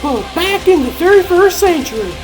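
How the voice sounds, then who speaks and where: A woman's voice: very high voice, lively intonation, normal speed, music in the background, poor audio. A woman playing a plucky, confident young superhero in a comic dub, with a breezy, casual delivery. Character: brash, plucky young female superhero speedster. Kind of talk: dramatic comic dub acting.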